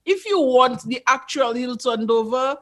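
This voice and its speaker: A woman with a Caribbean English accent co-hosting a casual podcast, talking in a playful, slangy way and giving shout-outs. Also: sits high, expressive, measured pace, a loud crowd. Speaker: podcast co-host.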